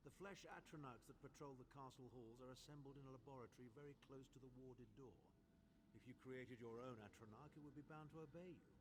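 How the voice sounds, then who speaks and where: A man's voice: medium pitch, fast, background noise, natural voice. A man playing a sardonic, condescending older mage in a video game, articulate and dry in delivery. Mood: dry, instructive. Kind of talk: video game character acting.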